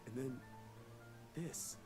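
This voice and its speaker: A timid young man in a horror visual novel. He speaks slowly and softly, halting and unsure of himself. Music plays clearly behind him. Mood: hesitant. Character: timid, hesitant, soft-spoken young man.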